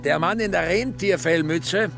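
A man with a gravelly voice giving scripted narration in a radio drama. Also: sits high, unhurried, music in the background.

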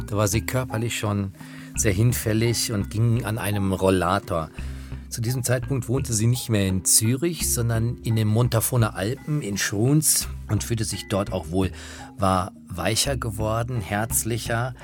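A man speaking reflectively, with hesitant pauses, as he recalls memories for a radio documentary. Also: fairly low pitch. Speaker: interviewer recalling people he interviewed. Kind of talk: spoken reminiscence in a radio documentary. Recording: music behind the voice.

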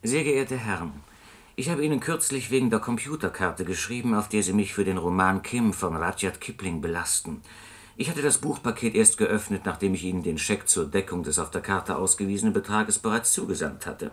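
An actor playing an aggrieved customer in a radio play, dictating complaint letters with formal, pointed politeness. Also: male voice, deep voice, fairly quick, close to an everyday voice. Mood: polite, controlled.

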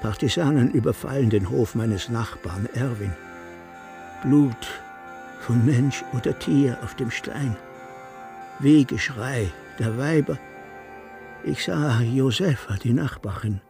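An actor playing a guilt-ridden village priest, confessing haltingly and solemnly in a radio drama, with a husky, gravelly voice. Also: male voice, medium pitch, over music. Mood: burdened, haunted. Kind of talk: slow, literary radio drama monologue.

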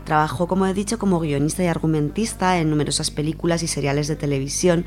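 A woman's voice: deep voice, steady tone, quick pace, darkish tone, soft background music. A woman from Spain presenting on radio, giving an informal, semi-prepared account in an explanatory way, restating points as she goes.

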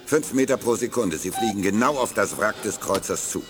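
A man's voice: fairly low pitch, expressive, brisk, music in the background, natural voice. An actor playing an alert male spaceship tracking officer, giving clipped reports in a 1980s sci-fi radio play. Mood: tense, businesslike.

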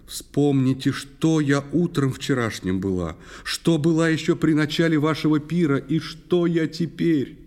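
Casting: male actor as tearful young woman, timid and despairing, mid pitch, pleading verse delivery, faint music behind. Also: darkish tone, close to an everyday voice. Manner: plaintive, pleading verse speech. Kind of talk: dramatic verse recitation. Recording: music under the voice.

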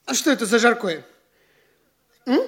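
An actor playing a vain, fussy, hungry young gentleman in a theatrical radio play, haughty and exclamatory. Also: extremely high pitch, lively intonation, moderate pace, exaggerated voice. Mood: disgusted grumbling.

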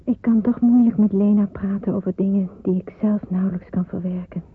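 A earnest young woman in hiding in a radio drama. Her voice is very husky and breathy, and she speaks in a hesitant, searching way. The recording is thin and phone-like. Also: medium pitch, fairly even intonation, fairly quick. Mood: troubled, searching. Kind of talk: Dutch radio drama acting.

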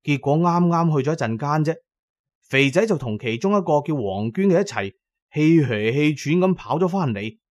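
A man's voice: a little high, animated, moderate pace, no echo, studio quality. A man narrating a scripted first-person story in a dramatic storytelling manner. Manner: dramatic storytelling, voicing characters' lines.

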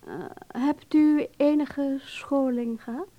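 An actress in a Dutch radio drama playing an alluring, amused, worldly film-world lady with musing pauses. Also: high voice, fairly even intonation, lo-fi sound. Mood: amused, flirtatious.

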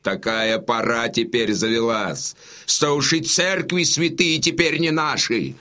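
An actor playing a bitter, indignant Cossack messenger bringing grim news with emphatic theatrical delivery in an audio drama. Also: male voice, medium pitch, measured pace, bright, forceful tone.

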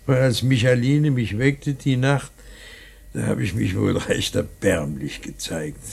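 A man's voice: medium pitch, unhurried, creaky, darkish tone, slightly rough, heavily acted. An actor playing a solemn, tender old father in mourning in a radio play, ponderous and philosophical, repeating his words. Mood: solemn, sorrowful. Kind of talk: German radio play acting.

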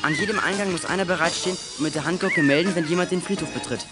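Man as brisk teenage boy detective. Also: high-pitched, loud music behind the voice, close to an everyday voice. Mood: commanding. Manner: commanding, brisk. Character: decisive teenage boy detective leading his gang. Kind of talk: German children's audio drama acting.

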